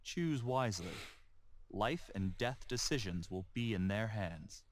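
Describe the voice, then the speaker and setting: Man narrating a game tutorial, lively. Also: fairly low pitch, measured pace, a little hiss or hum.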